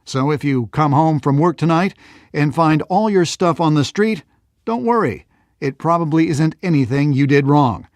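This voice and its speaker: A man's voice: a little high, lively intonation, moderate pace, dry recording, close to an everyday voice. A man voicing a glib, polished television news presenter in a video game, with wry emphasis. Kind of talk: broadcast news reading, video game acting.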